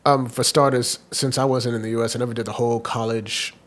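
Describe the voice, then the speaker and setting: A man's voice: mid-range voice, little pitch movement, fast. Easygoing young man telling his story. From Washington, D.C. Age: young adult. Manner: easygoing, rambling storyteller with many fillers. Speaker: podcast guest. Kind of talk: casual interview chat.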